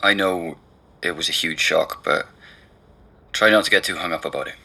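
A man's voice: low-pitched, normal speed, sharp tone, husky, full character voice. An actor playing a concerned, level-headed male friend in naturalistic audio drama dialogue.

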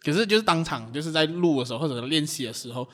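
A man's voice: a little high, lively intonation, very fast, a bit bright. A man, a guest casually chatting about his amateur voice acting. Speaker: podcast guest, amateur voice actor. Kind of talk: casual behind-the-scenes chat.

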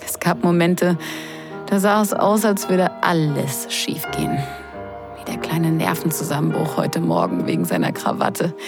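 A woman's voice: sits low, animated, moderate pace, gravelly, over music. A woman acting a sarcastic, flustered young sister of the groom in an intimate, confiding audio drama. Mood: exasperated, confiding. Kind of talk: intimate first-person audio drama narration and dialogue.

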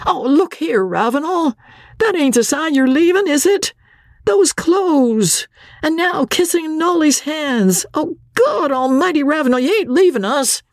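Woman putting on a high-pitched, fussy old riverboat captain. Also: sing-song, measured pace, darkish tone, no room sound, clean studio sound. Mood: alarmed. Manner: folksy, rustic, exclamatory speech. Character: fussing, excitable old riverboat captain father.

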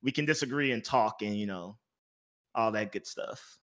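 A man chatting on a podcast, enthusiastic, sometimes dropping into soft asides. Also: on the low side, moderate pace. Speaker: podcast co-host. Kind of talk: casual chat.

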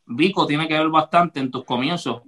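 Host guy with a bright punchy voice, easygoing, laughs a lot while telling a story. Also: on the high side, normal speed. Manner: relaxed storyteller who laughs easily. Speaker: podcast host. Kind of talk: casual conversation.

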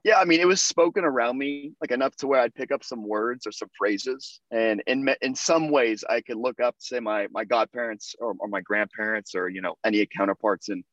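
A man's voice: mid-range voice, fairly quick, hardly any pauses, a bit bright, no room sound, highs cut off. A young American man, a podcast guest, chatting casually with lots of fillers and restarts. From United States. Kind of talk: casual interview conversation.

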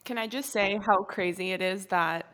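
A woman's voice: medium pitch, normal speed, fairly bright tone. A young woman hosting a podcast, chatting with a guest in an enthusiastic, filler-heavy way. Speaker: podcast host interviewing a guest. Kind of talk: casual podcast conversation.

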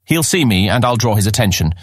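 A man's voice: fairly low pitch, lively intonation, fast, slightly rough, no echo, clean studio sound. An actor playing a mischievous boy, a sly ringleader who coaxes and goads in a fantasy audiobook drama. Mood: eager, coaxing. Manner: persuasive, egging on. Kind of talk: full-cast fantasy audiobook acting.

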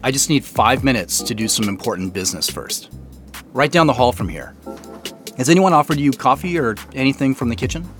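A man's voice: mid-range voice, animated, fairly quick, a bit bright, soft background music, close to an everyday voice. An actor playing a polite, understated man in naturalistic audio drama dialogue. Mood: apologetic, polite.

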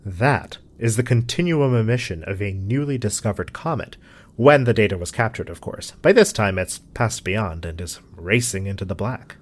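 A theatrical, deep-voiced eccentric scientist. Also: male voice, lively intonation, moderate pace. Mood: formal, absorbed. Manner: formal, theatrical, precise diction. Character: pompous, eccentric male scientist, dramatic and lonely. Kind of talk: scripted audio drama acting.

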